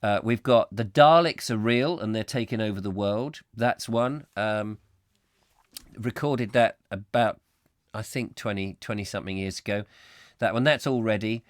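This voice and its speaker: A slightly hoarse man hosting a comic live chat show, rambling whimsically and mocking himself as he reads out viewer comments. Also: fairly low pitch, normal speed, dry recording, studio quality. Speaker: host.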